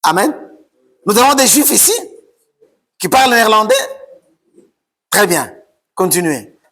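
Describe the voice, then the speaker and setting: A man preaching a sermon as pastor, shouting and sing-song, repeating phrases over and over. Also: high-pitched, sing-song, measured pace. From Democratic Republic of the Congo.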